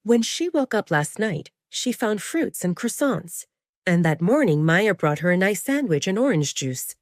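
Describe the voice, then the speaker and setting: Animated woman narrating a dramatic audio serial. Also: mid-range voice, unhurried, no room sound, clean studio sound.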